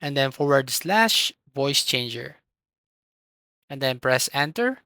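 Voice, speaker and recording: A tutorial host giving step-by-step software instructions in an instructional manner, with a voice recorded close to the microphone. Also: mid-range voice, animated, takes it slowly, no echo.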